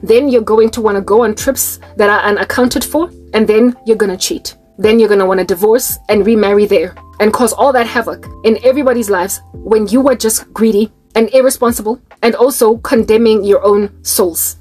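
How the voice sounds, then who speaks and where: A woman's voice: mid-range voice, fairly even intonation, normal speed, few pauses, a little mellow, husky, soft background music. A South African woman in her late thirties, preaching to her viewers in an exhorting, rhetorical monologue. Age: 30s.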